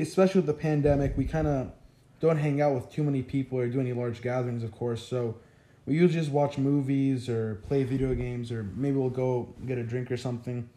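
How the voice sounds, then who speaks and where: A young man in his first year of college answers questions in a relaxed, talkative way, often leaning on "like." His voice is warm and mellow, and his first language is Arabic. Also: medium pitch. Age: young adult. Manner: chatty, frequent "like" fillers. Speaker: interviewee, college freshman. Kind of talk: casual interview answers.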